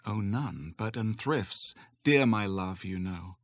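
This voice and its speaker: Slow-paced man reading verse. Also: on the low side, lively intonation, dry recording. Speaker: poetry reader. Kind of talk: dramatic poetry recital.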